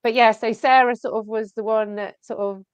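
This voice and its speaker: A woman guest on a talk show, speaking earnestly and haltingly, with many fillers. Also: fairly high pitch, steady tone, clean tone, no room sound. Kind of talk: casual talk show chat.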